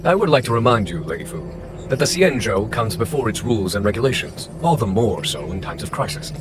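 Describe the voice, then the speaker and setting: An actor voicing a calm, wily male general in a video game, unhurried, courteous and wryly formal. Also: on the low side. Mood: composed, commanding. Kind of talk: scripted video game voice acting. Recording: game music underneath.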